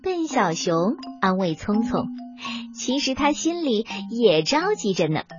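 Female children's storyteller, animated, very varied intonation, scripted narration, music behind, slightly dull sound. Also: a little high, measured pace.

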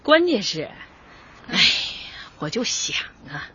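A woman's voice: medium pitch, very animated, a little slow, sharp tone, slightly rough, highs cut off, full character voice. An actress playing a bold, hearty middle-aged neighbourhood woman with a Northeastern Chinese accent in a radio comedy, folksy and forthright. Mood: earnest. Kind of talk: radio comedy drama acting.

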